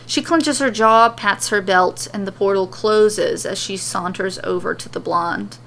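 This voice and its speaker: A woman storyteller reading scripted fiction in an expressive narration style. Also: mid-range voice, measured pace, gravelly, slight background noise.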